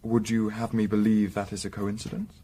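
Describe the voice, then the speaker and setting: An actor playing a composed gentleman investigator in a Victorian radio drama, speaking formally and measuredly. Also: lowish voice, flat delivery, brisk, close to an everyday voice. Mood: probing, cool. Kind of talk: period radio drama acting.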